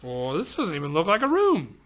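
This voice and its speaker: Guy reading a rhyming kids book, bright voice that swings up and down, chatty little asides. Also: a little high, brisk. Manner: expressive, exclaiming, chatty asides. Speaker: volunteer storybook reader for children. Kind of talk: reading a rhyming picture book aloud with ad-libbed asides to children.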